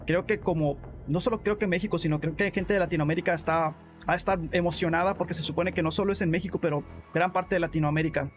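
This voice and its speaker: A man's voice: high-pitched, fairly even intonation, quick pace, soft background music. A man streaming live, answering chat in a casual way, calling viewers bro and often restarting his sentences. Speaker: gaming streamer.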